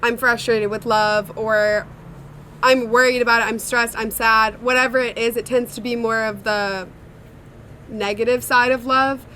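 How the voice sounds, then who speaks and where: Bright-voiced young woman, chatty songwriter. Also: fairly high pitch, fairly even intonation, a little slow, a little hiss or hum. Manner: chatty and reflective, many "like" fillers. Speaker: songwriter and singer in a sister duo. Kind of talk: casual interview answers.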